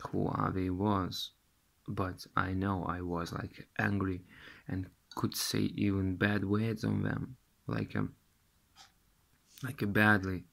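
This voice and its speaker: A slow-talking man in his thirties from Lithuania, his English carrying a Russian accent and a gravelly, fry-laden edge. He speaks haltingly, repeating words as he hunts for them, close to a handheld phone in a small room. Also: deep voice, little pitch movement, a bit bright. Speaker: vlogger. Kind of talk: recounting a dream to camera. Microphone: phone camera held close.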